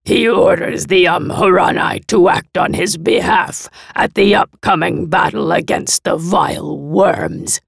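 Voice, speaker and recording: A woman voicing a diseased, arrogant male envoy of a horde lord, with a raspy, ruined-sounding throat and slow, haughty threats. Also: fairly low pitch, no echo, clean studio sound, voice pushed up, heavily acted. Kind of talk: audiobook character voice.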